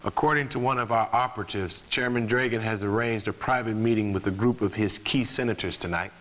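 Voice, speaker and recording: Male actor as mission commander; lowish voice, sober, formal military briefing for a game. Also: natural voice, low-quality recording.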